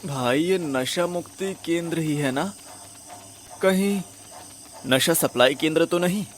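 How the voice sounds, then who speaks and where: Cheeky young sidekick, slightly high voice, man acting. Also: measured pace, slight background noise, lightly acted. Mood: wry joking. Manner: teasing, slangy, mixes in English words. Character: cheeky, smooth-talking, wisecracking young male sidekick. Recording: music under the voice.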